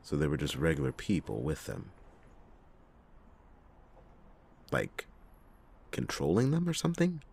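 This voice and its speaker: Man playing a very deep, gravelly noir detective. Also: huge pitch swings, a little mellow, exaggerated voice. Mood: guarded, intrigued. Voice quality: gravelly, husky low voice. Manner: sardonic, theatrical, halting speech with drawn-out emphasis. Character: cynical, hard-drinking, reluctant male noir private detective. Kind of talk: ASMR detective roleplay, acted monologue to listener.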